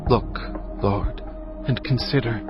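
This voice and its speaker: A man playing a grieving prophet in dramatized scripture narration, declaiming in a solemn, mournful, measured way. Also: mid-range voice, natural voice. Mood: mournful pleading. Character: grieving male prophet lamenting his ruined people. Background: soft music.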